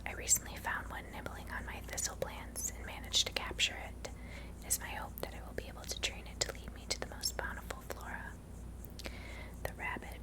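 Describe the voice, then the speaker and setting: A woman whispering ASMR video game commentary in a breathy voice, softly musing to herself. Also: poor audio. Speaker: video game player and streamer. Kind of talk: whispered ASMR gameplay commentary with reading aloud.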